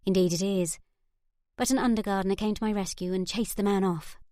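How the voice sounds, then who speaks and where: Nervous young gentlewoman, polite, guarded, read by a woman narrator, slightly higher than the narration. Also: fairly even intonation, brisk, no room sound, studio quality, lightly acted. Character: shy, anxious young woman newly risen in rank.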